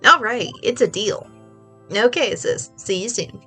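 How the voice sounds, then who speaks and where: A woman's voice: a little high, expressive, unhurried, a bit bright, husky, faint music behind, exaggerated voice. A woman with a stuffy voice voicing a perky, teasing young woman, a kid sister bantering on the phone in a video game read-along. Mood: playful.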